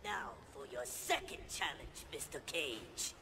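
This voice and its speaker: An actor playing an imperious old sorcerer who commands a tournament in a game, gravelly and menacing. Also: male voice, a little high, big pitch swings, unhurried, heavy background noise. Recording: loud music behind the voice.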